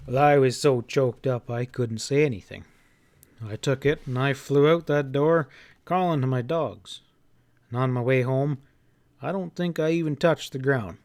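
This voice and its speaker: A man narrates a classic novel in the first person. His mid-pitched voice is folksy and expressive, leaning into the drama of the telling. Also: moderate pace. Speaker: audiobook narrator.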